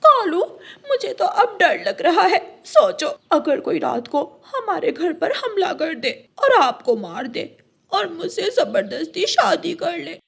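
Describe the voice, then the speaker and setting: A woman's voice: extremely high pitch, very animated, slow, gravelly, long pauses, fairly bright tone, heavily acted. A woman voicing a nagging, anxious female crow wife who complains dramatically in a cartoon story. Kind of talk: Hindi cartoon story acting.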